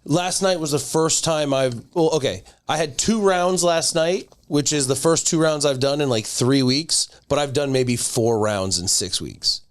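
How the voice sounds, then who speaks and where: A man's voice: fairly high pitch, a little slow, few pauses. Blunt joking man in his forties. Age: 40s. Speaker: podcast co-host, gym owner. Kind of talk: casual chat.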